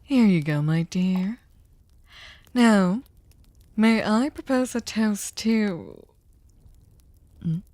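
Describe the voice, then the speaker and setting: A woman voicing a sadistic, possessive vampire hostess in an ASMR roleplay, silky, courtly and teasing, often slipping into breathy whispers. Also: medium pitch, lively intonation, extremely slow, pauses often, darkish tone, no room sound. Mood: playful, coaxing. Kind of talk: scripted ASMR roleplay, intimate dramatic monologue.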